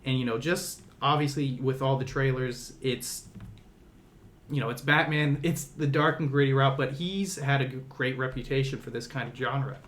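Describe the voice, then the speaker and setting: A man's voice: medium pitch, steady tone, moderate pace, fairly bright tone. A young man hosting a podcast, discussing movies casually and conversationally, often padding his points with small hedges. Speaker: podcast host.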